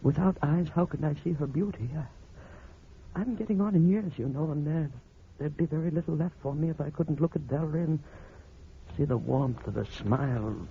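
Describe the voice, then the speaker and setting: Anxious aging blind husband, creaky voice. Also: male voice, on the high side, normal speed. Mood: wistful, devoted. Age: older. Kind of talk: vintage radio drama acting. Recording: muffled, noisy old radio recording.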